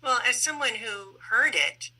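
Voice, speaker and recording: Very bright-voiced woman moderating. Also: on the high side, a little slow, poor audio. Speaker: interviewer, panel moderator. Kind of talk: conversational interview questions.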